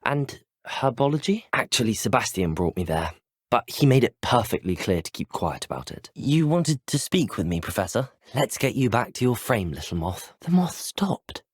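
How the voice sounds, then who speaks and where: A polite, eager student wizard from a video game, with an English accent and a medium-pitched voice. His delivery is earnest and well-spoken, with lots of melodic movement. The sound is dry and studio-clean. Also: sing-song, normal speed, husky, no echo. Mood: earnest, curious. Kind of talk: scripted game dialogue lines.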